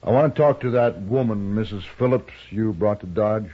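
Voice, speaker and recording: Actor as husky, deep-voiced frontier rancher. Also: male voice, normal speed, natural voice. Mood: reserved, polite. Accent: American. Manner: polite, humble, folksy. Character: plainspoken, gentle, sorrowful widowed rancher in old West. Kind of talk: 1950s radio western acting. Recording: dull, muffled vintage radio recording.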